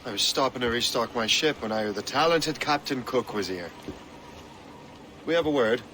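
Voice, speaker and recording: A man's voice: medium pitch, expressive, moderate pace, sharp tone, a little hiss or hum. A man playing a cocky, wry rogue hunter in a video game, with a dry, understated delivery. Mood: grave, determined.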